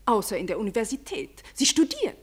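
An actress playing a gossipy, deferential aristocratic lady in a radio play, telling her tales effusively with dramatic, drawn-out emphasis. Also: on the high side, huge pitch swings, slightly rough, heavily acted. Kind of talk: German radio play acting.

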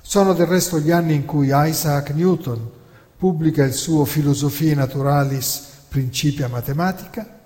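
A man delivers a theatrical historical monologue in a deliberate, emphatic, rhetorical way with dramatic pauses. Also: a little high, darkish tone. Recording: long hall reverb.

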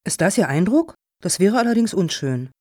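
A woman acting in a radio satire as a brisk, formal foundation juror with a businesslike, measured manner. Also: fairly low pitch, sing-song, a little mellow, no room sound, clean studio sound. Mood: probing, disappointed.

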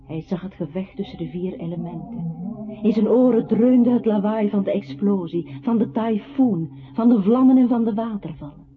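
A woman playing a calm, ominous disembodied voice that haunts a man, narrating gravely and with measure in a radio play. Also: medium pitch, moderate pace, natural voice. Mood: grave narration. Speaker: radio drama narrator-character. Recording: thin phone-like old radio recording. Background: music.

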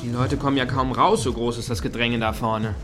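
An actor as an impatient man queuing at a club in a comic radio play. He grumbles and complains at an average male pitch. Faint music sits behind him. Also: fairly even intonation, a little fast, fairly bright tone, natural voice. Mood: grumbling.

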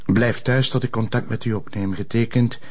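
An actor playing a loyal, cautious police sidekick with a Flemish accent in a crime drama, placating and reasonable. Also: male voice, on the low side, fairly even intonation, a little mellow, a little hiss or hum, lightly acted. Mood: grave reading. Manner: measured, placating.

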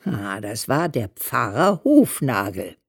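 A woman's voice: a bass voice, very animated, slow, creaky, breathy edge, no echo, clean studio sound, heavily acted. An actress playing an earthy, humorous elderly mother with a Hessian accent, telling folksy letters in a radio play. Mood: teasing, fond. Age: older. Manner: leisurely, folksy storytelling.

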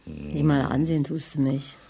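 A middle-aged woman playing a bitter, scornful, nagging wife in a radio drama, with a raspy voice. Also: low-pitched, level tone, normal speed, warm tone, full character voice.

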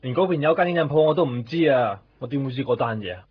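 An actor playing an evasive, defensive shopkeeper in a 1990s Hong Kong Cantonese radio drama. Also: male voice, medium pitch, expressive, quick pace, slight background noise, close to an everyday voice. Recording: dull lo-fi radio recording.

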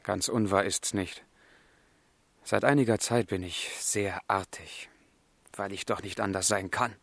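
A brooding young romantic in a literary radio play. His lowish voice is husky and very close and dry. He speaks earnestly and emotionally, with melancholy underneath. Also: fairly even intonation, a little slow, fairly bright tone, dry recording, heavily acted. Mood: tender, wistful. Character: Werther, passionate, melancholy young man in love.

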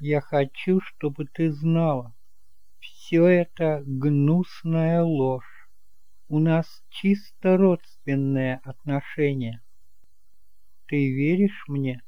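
A man playing an earnest, principled young man in an audio drama, speaking gently but resolutely. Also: a little high, drawn-out words, dry recording, muffled recording, voice pushed up. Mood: serious, sincere. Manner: earnest, emphatic.

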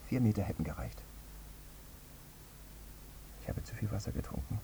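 An actor playing a guilt-ridden airline pilot in a radio drama, fretful and subdued, brooding repetitively. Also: male voice, on the low side, animated, normal speed, gravelly, mellow. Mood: guilty, brooding. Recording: muffled, dull, faint background noise.

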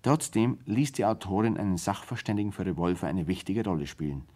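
A man, a radio play director, introducing a drama in a measured, explanatory, formal 1970s radio style. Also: low voice, warm tone.

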